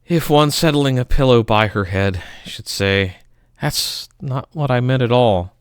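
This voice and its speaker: A man giving a theatrical, melodramatic poetry reading, swinging between loud outbursts and hushed murmurs. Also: medium pitch, measured pace, clear, resonant tone, dry recording, clean studio sound. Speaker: poetry reader.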